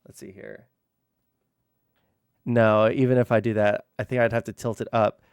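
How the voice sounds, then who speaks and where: A man streaming from home, chatting casually with lots of fillers and some swearing, his voice naturally loud. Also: fairly low pitch, level tone, moderate pace, creaky, clear, resonant tone, dry recording, clean studio sound. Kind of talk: casual livestream chat. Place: room hung with soundproofing blankets. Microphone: streaming mic with gain turned low.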